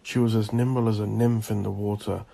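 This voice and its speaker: Gravelly, low-voiced man narrating dark horror. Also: flat delivery. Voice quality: gravelly, husky. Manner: ominous, deliberate, theatrical storytelling.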